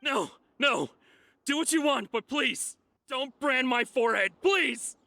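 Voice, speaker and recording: Game bit part: cornered young coward, high, sharp voice, pleading and rattled. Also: male voice, expressive, takes it slowly, creaky, dry recording, exaggerated voice. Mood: terrified pleading. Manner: panicky, pleading. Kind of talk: video game acting.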